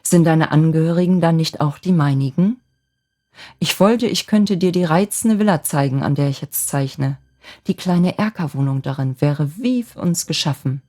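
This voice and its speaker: A woman's voice: low-pitched, normal speed, dark tone. A woman voicing an ardent, cheerful young draftsman who woos his sweetheart in an eager, wheedling manner, in a storybook tale. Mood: hopeful, eager.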